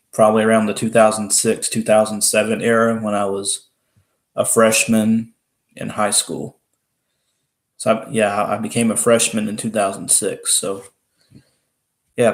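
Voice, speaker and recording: A man in his twenties from Alabama with a Southern accent and a deep voice, chatting casually with viewers on a live stream. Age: 20s. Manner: rambling, chatty, laughs often, restarts. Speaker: livestream host.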